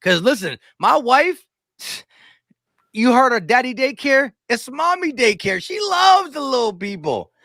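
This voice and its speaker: A man's voice: very high voice, sing-song, unhurried, sharp tone, no echo, studio quality. A man in his thirties chatting casually as a sports show host, emphatic and given to repeating himself. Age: 30s. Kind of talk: casual chat.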